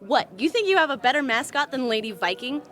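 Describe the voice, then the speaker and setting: Casting: woman as cocky teenage schoolgirl, high voice, very animated delivery, boastful, audio drama. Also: fast. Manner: boastful, indignant. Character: brash, self-assured teenage girl in high school.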